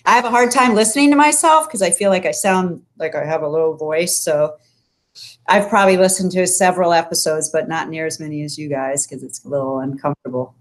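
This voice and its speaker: Woman co-host on a video call, a touch low, chatty and upbeat. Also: a little fast. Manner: laughs easily, chatty and upbeat. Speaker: podcast co-host. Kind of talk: casual interview chat.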